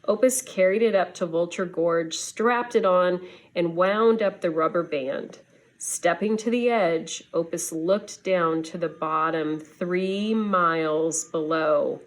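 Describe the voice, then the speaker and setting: Female storybook reader for young kids, lower register, very measured pace, expressive delivery. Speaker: schoolteacher reading to students. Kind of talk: storybook read-aloud for children.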